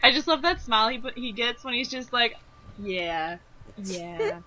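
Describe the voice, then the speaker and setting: An excitable woman chatting casually on a group watch-along commentary track. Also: on the high side, unhurried, a little hiss or hum, rough recording. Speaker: fandub cast member and editor on a commentary track.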